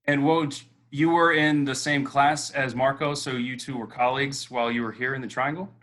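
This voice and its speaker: A man's voice: medium pitch, steady tone, normal speed, a bit bright. Man hosting a video panel. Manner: friendly, guiding questions with fillers. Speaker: host. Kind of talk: moderating a panel interview. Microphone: video call.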